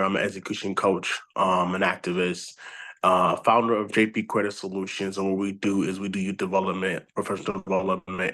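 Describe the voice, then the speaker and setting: Low, even-voiced man from Haiti. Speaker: author and activist, panel guest. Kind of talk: self-introduction, motivational talk.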